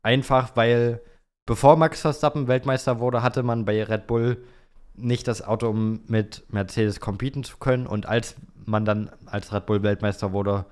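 A man's voice: mid-range voice, steady tone, normal speed, a little mellow, clear, resonant tone. A young man chatting casually and colloquially as a podcast co-host. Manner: colloquial, slangy. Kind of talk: casual chat about Formula One.

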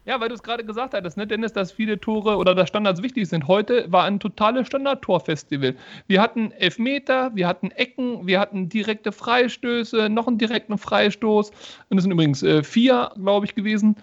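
A man's voice: high voice, fairly quick. A man on a podcast panel casually discussing football in an emphatic, opinionated way. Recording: dull lo-fi microphone sound.

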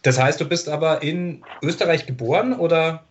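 A man's voice: fairly high pitch, normal speed, a little hiss or hum. A man interviewing a tennis player, asking long, chatty questions and often stopping to search for words. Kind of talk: sports interview. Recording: dull lo-fi sound.

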